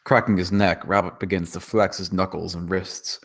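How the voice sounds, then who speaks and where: A man's voice: sits low, little pitch movement, normal speed. A man reading scripted stage directions as the narrator of a screenplay table read.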